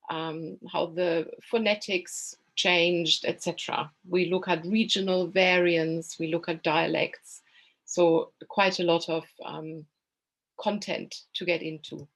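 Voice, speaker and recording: A German-accented woman teaching languages, giving a measured open-day presentation with many pauses and ums. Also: fairly low pitch.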